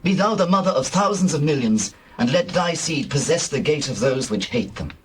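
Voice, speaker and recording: A man's voice: on the high side, expressive, moderate pace, a little hiss or hum, lightly acted, low-quality recording. A man playing an ancient-world kinsman in a dramatized scripture, giving an earnest, declamatory family blessing.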